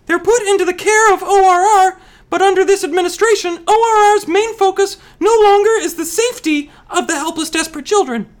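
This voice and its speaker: A woman playing an earnest, indignant female expert in a forced high voice for a scripted comedy explainer. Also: a little fast.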